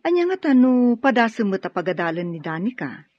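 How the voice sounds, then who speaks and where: A frank, supportive Filipino sister in a radio drama. Her mid-pitched voice is husky, on a dull, dry recording. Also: sing-song, a little fast, no room sound. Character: frank, supportive younger sister of middle-aged bachelor. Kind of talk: Ilocano radio drama acting.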